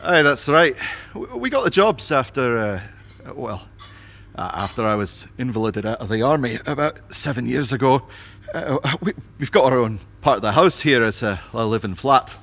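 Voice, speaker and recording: A man's voice: mid-range voice, animated, moderate pace, creaky, a bit bright. A man acting a stubborn, friendly ex-army groundsman and husband in an audio drama, in a homely, hesitant, plain-spoken way. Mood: hesitant, explanatory.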